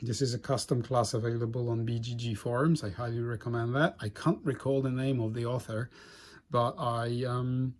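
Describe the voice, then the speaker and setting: Halting man, Polish native speaker. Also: medium pitch, little pitch movement. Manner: halting, frequent restarts and fillers. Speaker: board game channel host. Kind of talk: casual playthrough commentary.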